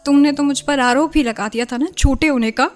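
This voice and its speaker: A woman's voice: high-pitched, fairly quick, soft background music, lightly acted. An actress playing a guarded married woman novelist and hurt former lover in a radio drama, in a conversational manner. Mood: hurt, accusing.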